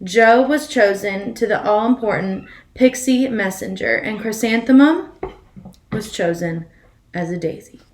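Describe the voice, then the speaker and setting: A woman's voice: mid-range voice, a little slow. A woman reading a children's picture book aloud expressively as a storyteller, putting questions to her listeners. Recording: echoey room.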